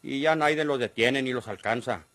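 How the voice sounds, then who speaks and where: A middle-aged Mexican countryman played by an actor in an old-style radionovela. He has a medium-pitched, dryly recorded voice and argues earnestly, shrewd and defiant, in rustic speech. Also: normal speed, fairly bright tone, no room sound, close to an everyday voice. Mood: defiant persuading. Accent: rural Mexican. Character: cornered ranch man, shrewd, pleading yet defiant.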